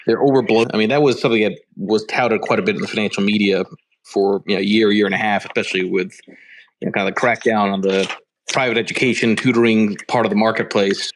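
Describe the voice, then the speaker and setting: A man's voice: fairly low pitch, steady tone, quick pace, rough recording. A man hosting an interview, asking a long question casually with fillers. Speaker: interview host.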